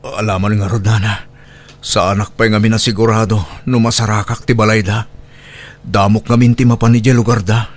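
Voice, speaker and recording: An actor with an Ilocano accent playing a troubled, pleading single father in a radio drama, with halting delivery. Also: on the low side, little pitch movement, lightly acted. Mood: anxious. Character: troubled, pleading young single father, devoted to his child.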